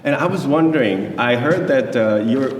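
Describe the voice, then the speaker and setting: A man's voice: mid-range voice, lively intonation, normal speed, a little hiss or hum. A man in his thirties from South Korea, a native Korean speaker, giving a talk to an audience in English. He hesitates often and restarts his sentences, and he is friendly with the audience. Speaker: guest lecturer. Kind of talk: presentation to an audience. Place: lecture hall. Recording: echoey hall from far off.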